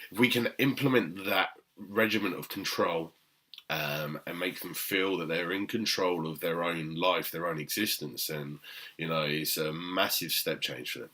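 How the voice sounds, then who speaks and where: A British man, a personal trainer with a very deep voice, talking emphatically to camera with frequent "okay?" asides and fillers. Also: moderate pace, bright, forceful tone. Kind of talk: unscripted talk to camera.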